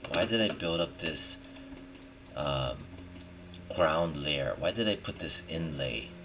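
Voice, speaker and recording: A man giving a casual software tutorial, thinking aloud informally as he works and often checking in with the listener. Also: rumbling low, lively intonation, takes it slowly. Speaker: 3D modelling tutorial instructor. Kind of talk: casual screen-recorded software tutorial, talking while working. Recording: clearly noisy, with keyboard and mouse clicks. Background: background noise, typing and clicking.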